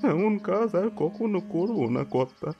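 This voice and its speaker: A man's voice: high voice, lively intonation, slow pace, creaky, dull audio, over music, heavily acted. A man playing a stubborn, hot-tempered poor villager in an audio story, agitated and blunt. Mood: pleading.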